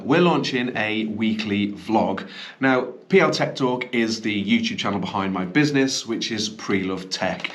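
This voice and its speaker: A man's voice: on the low side, a bit bright. A man with a slight cough, hosting a casual vlog from a small indoor studio, chatty and upbeat with ums and self-corrections. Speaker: business owner and channel host.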